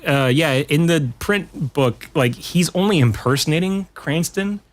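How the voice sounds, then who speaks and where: A man's voice: a little high, unhurried, faint music behind. A man talking casually as a podcast guest, in an informal way with rambling asides. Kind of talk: casual pop culture chat.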